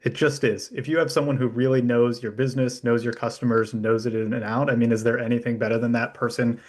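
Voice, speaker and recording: A man's voice: mid-range voice, flat delivery, fast. A younger American man from Florida, talking casually as an interview guest and startup founder. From Florida, USA.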